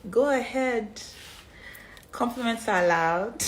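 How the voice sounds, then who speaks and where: A woman in her twenties with a Nigerian accent, reading out fan questions and answering them in a relaxed, rambling way with plenty of ums. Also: fairly high pitch, takes it slowly, slight background noise. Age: 20s. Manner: reads fan questions aloud then answers chattily with many ums. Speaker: reality show contestant answering fan questions. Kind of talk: casual live-stream Q&A. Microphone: phone on a live stream.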